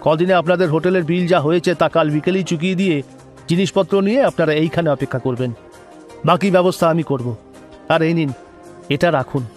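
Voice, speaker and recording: A man's voice: fairly high pitch, fast, faint music behind, close to an everyday voice. A man playing an earnest, secretive foreign government agent in an audio drama, speaking formally and politely with instructions. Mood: brisk, instructing. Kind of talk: dramatized audio story dialogue.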